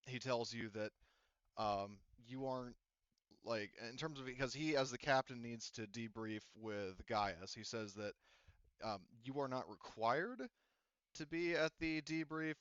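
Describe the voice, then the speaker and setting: Smooth-voiced game master, dull audio. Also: male voice, on the low side, fairly bright tone, dry recording. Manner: rambling, many fillers and restarts. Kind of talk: narrating a tabletop game.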